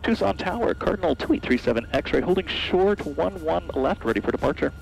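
A man's voice: on the high side, expressive, a little fast, creaky, poor audio. An American man, a pilot, making hesitant, clipped radio calls into a headset in a small plane cockpit. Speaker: private pilot. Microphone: aviation headset boom mic. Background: music, aircraft.

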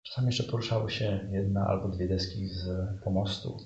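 A man's voice: deep voice, steady tone, a little fast. A man narrating an improvised fairy tale as a storyteller. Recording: roomy, dull, noisy live stage recording.

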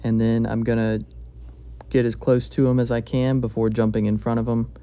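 A man calmly narrating a step-by-step fly-tying tutorial at his bench. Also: mid-range voice, moderate pace, clean tone. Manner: calm, steady, explanatory.

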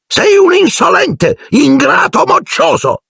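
High, shrill drunken aunt, scornful bully, gravelly edge, audiobook figure. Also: male voice, huge pitch swings, a little slow, no room sound, studio quality, exaggerated voice. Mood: furious.

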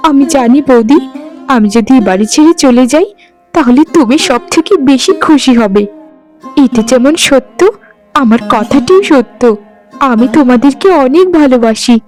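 High-voiced, sorrowful young woman acted by a woman. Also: normal speed, a little mellow, soft background music, close to an everyday voice. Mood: sad, loving. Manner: plaintive, earnest. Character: sorrowful, unloved young orphaned sister awaiting marriage. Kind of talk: Bengali cartoon voice acting.

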